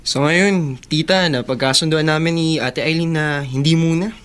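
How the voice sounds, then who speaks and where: A man's voice: a little high, normal speed, fairly bright tone, clean tone, lightly acted. A man playing a picky, easygoing young younger brother in a Filipino radio drama, with a Filipino accent. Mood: matter-of-fact.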